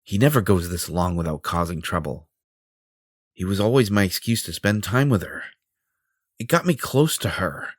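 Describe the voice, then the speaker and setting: A man's voice: low-pitched, expressive, moderate pace, dry recording, studio quality. A man playing a vain, possessive superhero in an audio roleplay, theatrical and self-important, with a flirtatious streak. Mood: frustrated, brooding.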